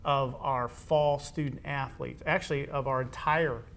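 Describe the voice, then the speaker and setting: An American man speaks as the host of a conversational radio interview. His voice sits at an ordinary middle pitch. There is faint rumbling noise in the recording. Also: fairly even intonation, a little slow, a bit bright. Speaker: host, high school athletics administrator. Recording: background noise with low rumble.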